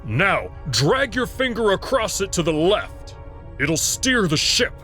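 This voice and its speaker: A man's voice: high-pitched, very animated, slow, soft background music, voice pushed up, exaggerated voice. A man doing a fierce alien pilot barking orders. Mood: urgent. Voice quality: shouting, urgent commands.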